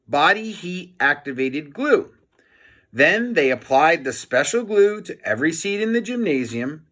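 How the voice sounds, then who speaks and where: Male children's storybook reader: expressive, unhurried, with a lot of swing in the pitch. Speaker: teacher reading a children's book aloud.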